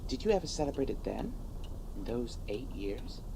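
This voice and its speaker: A man playing an earnest, nervous young monster-hunting sidekick in a comedy audio drama, expressive in delivery. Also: mid-range voice, normal speed, gravelly, background noise. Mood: teasing, curious.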